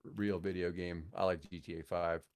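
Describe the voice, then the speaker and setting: An older man with an American accent, telling rambling, animated anecdotes casually as a radio veteran on a podcast. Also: sits low, moderate pace, clean tone. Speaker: radio host and guest.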